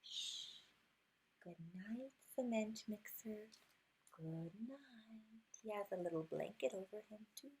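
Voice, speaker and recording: A woman reading a rhyming children's picture book aloud expressively, unhurried, with hushed moments and little asides. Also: medium pitch, husky, low-quality recording. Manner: expressive, unhurried, drops to hushed whispers at good nights. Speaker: storytime reader.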